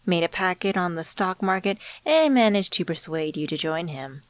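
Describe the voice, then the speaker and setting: Enigmatic deal-maker played by a woman, lowish voice, dry close sound. Suave, knowing, condescending, teasing the listener in an ASMR audio drama. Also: animated, no echo, lightly acted. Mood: smug, taunting. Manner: measured, teasing, rhetorical questions, condescending endearments. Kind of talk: scripted ASMR roleplay monologue spoken to the listener.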